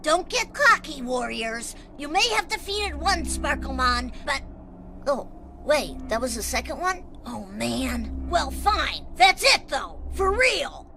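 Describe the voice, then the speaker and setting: A woman's voice: high voice, sing-song, creaky, background noise, full character voice. A woman voicing a whiny, nerdy child rival in a cartoon video game, full of shaky bravado and petulant hesitation. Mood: cocky. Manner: petulant, halting, deflating bravado.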